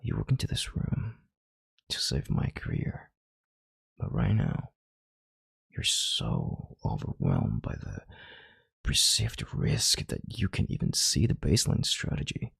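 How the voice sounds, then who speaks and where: Commanding, calculating male CEO played by a man for intimate audio roleplay: rock-bottom pitch, very husky, measured and authoritative. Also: huge pitch swings, no room sound, exaggerated voice. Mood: stern, reassuring. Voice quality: very deep, gravelly, husky voice. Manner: authoritative, measured, halting, sighing and hushing.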